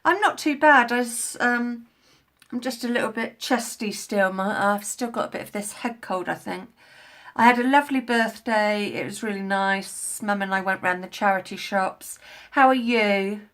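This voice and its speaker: A woman's voice: mid-range voice, moderate pace, fairly bright tone. A woman with a head cold, chatting in a rambling way on a live stream from home while crafting, recorded on a tablet. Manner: rambling, chatty, many fillers and restarts. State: congested with a head cold, coughing. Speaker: craft vlogger. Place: at home indoors.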